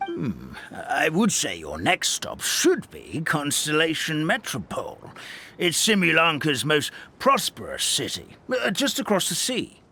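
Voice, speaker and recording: A kindly old storybook grandfather from a fantasy game. His pitch leaps and plunges as he talks in halting, theatrical bursts with sighs. Prominent music plays behind him. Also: sharp tone, full character voice. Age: older. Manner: halting, sighing, dramatic, swooping. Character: kindly, excitable old grandfather of a fairy-tale forest. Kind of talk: English game dub, storybook fantasy acting.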